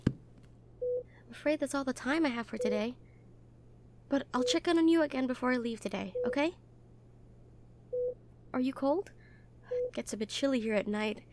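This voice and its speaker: A woman acts out a gentle female nurse in an intimate audio roleplay. Her voice is high and soft, with a solicitous, slightly hesitant way of speaking to the patient. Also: expressive, moderate pace, long pauses, background noise. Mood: gentle, caring.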